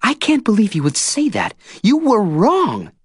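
A man voicing a stubborn sixteen-year-old girl, hurt and defiant, in a raised pitch. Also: lively intonation, normal speed, slightly rough, dry recording, studio quality. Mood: indignant. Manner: raised pitch, tearful and pleading.

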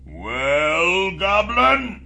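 A man voicing a big, suspicious orc leader in a fantasy audiobook, with a growling, gravelly, drawling delivery. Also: high voice, huge pitch swings, sharp tone, soft background music, voice pushed up, full character voice. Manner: slow, drawling, taunting.

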